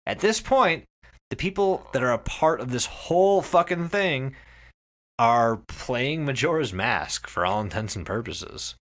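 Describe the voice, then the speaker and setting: A man's voice: mid-range voice, lively intonation, unhurried. A man hosting a podcast, telling a story in casual, emphatic chat with plenty of swearing. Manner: profane, emphatic, repeats phrases for effect.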